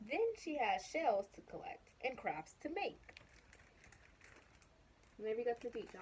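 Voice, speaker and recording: A woman's voice: mid-range voice, a little hiss or hum, rough recording. A woman reading a children's picture book aloud casually, chatting to young listeners with questions and asides. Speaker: storybook reader.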